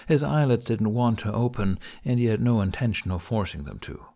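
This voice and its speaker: A man's voice: fairly low pitch, lively intonation, a little fast, creaky, warm tone. A man reading scripted fiction as an audiobook narrator.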